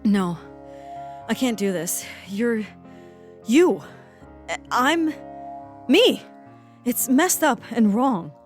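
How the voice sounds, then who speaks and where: Flustered, expressive young female agent. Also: fairly high pitch, faint music behind. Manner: halting, flustered, dry. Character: awkward, conflicted, grumpy young woman agent. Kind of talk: audio drama acting.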